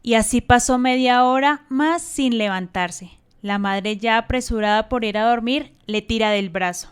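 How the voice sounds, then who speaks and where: A woman's voice: a little high, normal speed, clear, resonant tone. A woman narrating a radio drama in a scripted storytelling style.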